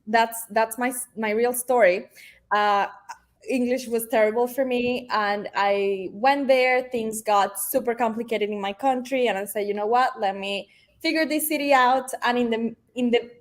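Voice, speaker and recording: A woman's voice: fairly high pitch, fairly even intonation, unhurried. A woman in her thirties with a Venezuelan Spanish accent, a native Spanish speaker, talking as a guest in a casual, upbeat interview, with many "honestly" and "um" fillers. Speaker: guest, realtor.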